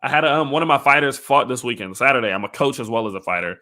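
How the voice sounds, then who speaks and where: A young man with a slightly raspy, hoarse voice, hosting a casual livestream of market commentary and often checking in with "okay?". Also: medium pitch, lively intonation, quick pace, pushed and bright. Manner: conversational, hedging, often ends with "okay?". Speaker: crypto channel host.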